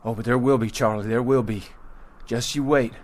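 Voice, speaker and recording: A man's voice: medium pitch, fairly even intonation, normal speed, a little mellow, slight background noise, close to an everyday voice. A man acting a hopeful, visionary pioneer farmer in a radio drama, speaking with rustic fervor. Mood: fervent hope. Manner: fervent, rustic speech. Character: hopeful, visionary pioneer farmer leading a wagon train west. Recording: music behind the voice.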